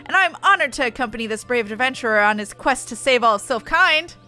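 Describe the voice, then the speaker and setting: A woman's voice: fairly high pitch, lively intonation, quick pace, bright, forceful tone, faint music behind. A woman voicing a pompous, cowardly male bird prince from a fantasy game, proclaiming grandly with squawks. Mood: pompous, heroic.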